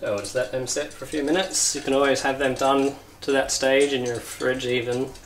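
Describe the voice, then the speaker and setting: A man's voice: medium pitch, normal speed, noisy background. A man demonstrating a recipe at home, chatting casually while he works at the stove. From United Kingdom. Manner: relaxed, chatty, talks while working. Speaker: cooking show chef. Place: home kitchen. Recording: echoey kitchen with loud sizzling. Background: frying and sizzling.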